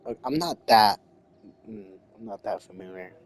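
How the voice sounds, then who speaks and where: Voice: mid-range voice, animated, normal speed. A game streamer giving casual, muttered reactions while playing.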